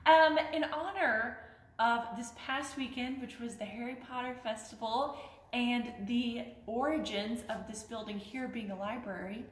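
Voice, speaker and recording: A woman's voice: on the high side, fairly even intonation, unhurried. A woman in her twenties presenting museum artifacts to camera in an informal, chatty, friendly way. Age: 20s. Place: large indoor museum hall. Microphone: handheld phone camera a few feet away. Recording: roomy, echoey phone video indoors.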